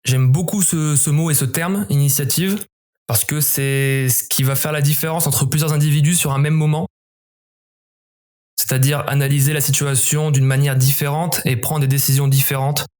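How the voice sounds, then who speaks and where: A young adult man from southern France, a former special forces member, answering interview questions in a measured, reflective way with frequent restarts. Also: a little high, fairly even intonation, darkish tone. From southern France, near Montpellier.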